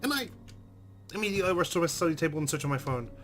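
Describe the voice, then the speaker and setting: A man's voice: fairly high pitch, lively intonation, racing, a bit bright. Man reading aloud dramatically over game music. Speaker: let's play host. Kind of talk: reading visual novel narration aloud, with brief reactions.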